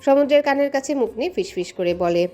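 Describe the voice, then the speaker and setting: A woman's voice: sits high, animated, fast talker, mellow. A woman reading a scripted romantic story as a dramatic narrator, with music behind her. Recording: music under the voice. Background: background music.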